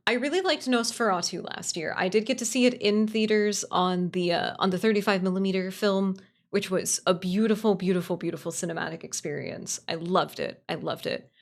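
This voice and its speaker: A woman's voice: medium pitch, a little fast. A woman chatting casually on a livestream, answering viewers in a gushing way and repeating phrases for emphasis. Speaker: livestream host.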